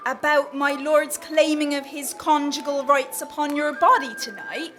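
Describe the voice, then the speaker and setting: An actress playing a scheming, deferential maidservant who speaks in flowery, coy period language in a comic radio play. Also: female voice, high-pitched, steady tone. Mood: careful, conspiratorial. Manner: deliberate, ornate, coyly deferential. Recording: studio radio play with music.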